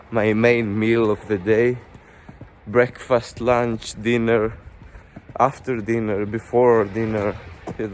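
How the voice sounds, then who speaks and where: A man's voice: on the low side, a little hiss or hum. A Greek man in his twenties with a Greek accent, chatting casually in a vlog while walking along a snowy street. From Greece. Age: 20s. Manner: chatty, rambling with fillers. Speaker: vlogger.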